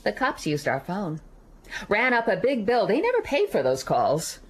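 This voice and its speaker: An actress playing a guarded middle-aged American woman who gives halting, reluctant testimony in a naturalistic radio drama. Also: mid-range voice, huge pitch swings. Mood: defensive. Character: guarded, weary American woman witness recalling an assault.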